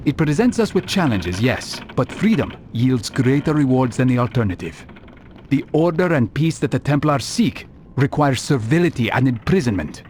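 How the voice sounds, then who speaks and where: An actor playing a calm, principled assassin in a video game, speaking in a measured, formal, eloquent way. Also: male voice, medium pitch, slight background noise, natural voice. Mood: earnest, philosophical. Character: calm, principled male assassin, philosophical and resolute. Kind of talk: video game cutscene voice acting. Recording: music behind the dialogue.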